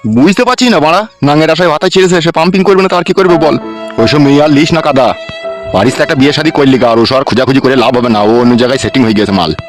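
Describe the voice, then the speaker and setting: A man voicing a crude, henpecked village uncle in a comedy cartoon, gruff and blunt, with a rural West Bengal accent. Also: mid-range voice, fast talker, soft background music, lightly acted. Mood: blunt, scornful.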